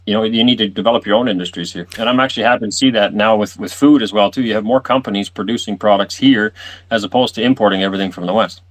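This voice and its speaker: A man's voice: fairly low pitch, quick pace. A man hosting a casual interview, talking in an emphatic, storytelling way.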